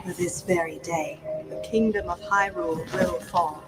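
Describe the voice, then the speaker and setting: An actress playing a solemn, mysterious prophetess in a dramatic fantasy film trailer. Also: female voice, fairly low pitch, steady tone, a little slow, close to an everyday voice. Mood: solemn, foreboding. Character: solemn mysterious woman prophesying doom to a hero. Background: music.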